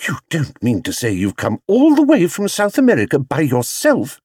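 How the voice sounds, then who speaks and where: A man's voice: sits high, huge pitch swings, moderate pace, darkish tone, breathy edge, no room sound, studio quality, voice pushed up, heavily acted. A man voicing a kind but firm English wife in a children's storybook, exclaiming and appealing. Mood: astonished. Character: warm-hearted, firm, persuasive middle-class English wife.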